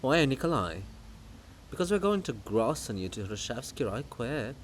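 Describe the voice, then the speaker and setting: A man voicing a mocking, excitable chorus of gossiping living monuments in a theatrical reading. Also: medium pitch, normal speed, a little hiss or hum, pitched below the performer's own voice, close to an everyday voice. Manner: jeering, excitable, taunting.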